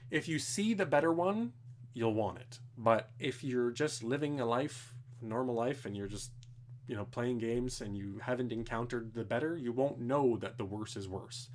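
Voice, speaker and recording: A man's voice: medium pitch, normal speed, a little hiss or hum. A young man, rambling casually through technical viewer questions at home, swearing now and then. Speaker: streamer answering viewer questions. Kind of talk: casual live Q&A. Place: home, indoors.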